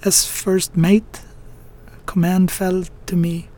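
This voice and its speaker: An actor playing an earnest, haunted first mate in a radio drama. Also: male voice, high-pitched, little pitch movement, warm tone. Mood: shaken, grieving. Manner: halting, broken phrases when recounting.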